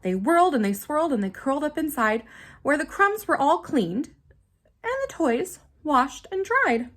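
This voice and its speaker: A woman reading a children's story aloud in an expressive, dramatic manner, with simple asides for young listeners. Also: sits high, moderate pace. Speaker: storybook reader.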